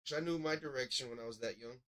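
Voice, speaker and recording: A man's voice: mid-range voice, fast, fairly bright tone. Casual man reviewing music, thinking aloud. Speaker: music review show host. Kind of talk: casual live commentary and critique.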